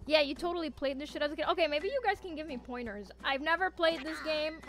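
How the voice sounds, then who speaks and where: A woman's voice: high voice, fast talker, fairly bright tone, faint music behind. A woman livestreaming games, chatting casually and teasingly with viewers, with playful theatrical emphasis. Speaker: VTuber game streamer. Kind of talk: casual livestream chat, reacting to viewers' messages.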